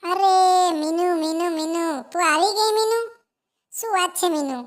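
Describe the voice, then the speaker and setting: A cartoon mother sparrow, acted out by a performer, with an extremely high, childlike pitch. She is doting and worried, coaxing and repeating herself as in a moral tale for children. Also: normal speed, fairly bright tone. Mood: excited. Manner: coaxing, repetitive, keeps addressing the child fondly. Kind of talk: animated children's story dialogue.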